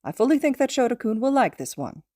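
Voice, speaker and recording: A woman's voice: fairly high pitch, big pitch swings, quick pace, mellow, dry recording, clean studio sound, voice pushed up. A woman voicing a small, super-intelligent male creature, an insistent mentor who speaks in a precise, wordy, emphatic way. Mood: eager, insistent.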